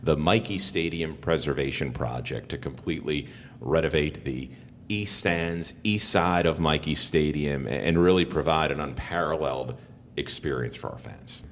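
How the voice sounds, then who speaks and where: Super deep guy hosting a sports podcast interview, echoing room, some rumbly noise behind him. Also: moderate pace. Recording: rumbling background noise, long reverberant room.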